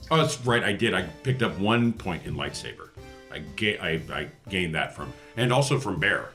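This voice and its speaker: A man's voice: low-pitched, lively intonation, normal speed, a bit bright, soft background music. A man chatting casually as a player on a tabletop roleplaying podcast. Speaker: player on an actual-play podcast. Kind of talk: casual tabletop game chat.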